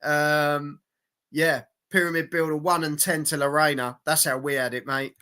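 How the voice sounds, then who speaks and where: A man hosting a boxing watch-along in casual, blokey, sweary live chat, repeating himself for emphasis, his voice going hoarse. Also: on the high side, little pitch movement, moderate pace, bright, forceful tone.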